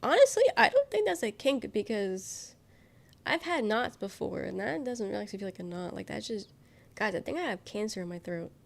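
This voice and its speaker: A young woman talks loosely to her stream audience while she is sick. Her voice is nasal with a gravelly fry underneath, and her speech wanders as she reads chat questions and answers them. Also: medium pitch, big pitch swings, a little fast. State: sick, congested voice. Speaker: livestreamer. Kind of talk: casual chat with viewers.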